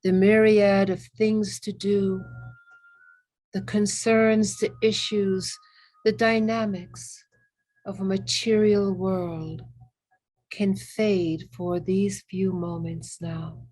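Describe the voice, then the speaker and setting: A woman with a mid-pitched voice leads a guided meditation. She speaks extremely slowly and gently, setting down short phrases with long pauses between them. Her delivery is soothing and reverent, in an ASMR-like meditative style. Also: flat delivery, low-quality recording.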